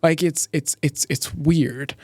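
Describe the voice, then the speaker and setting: A young man from Tanzania, a podcast guest talking casually, full of restarts and quick laughs. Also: a little high, steady tone, a little mellow, no room sound, clean studio sound. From East Africa, born in Tanzania. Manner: halting, many restarts and "like", laughs easily. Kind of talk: casual interview chat.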